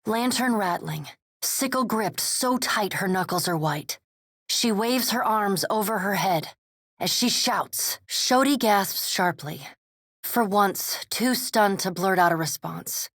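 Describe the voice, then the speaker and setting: A woman reading game narration in a measured, descriptive manner. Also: medium pitch, lively intonation, fairly bright tone, dry recording, full character voice. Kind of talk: scripted narration. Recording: dry studio recording.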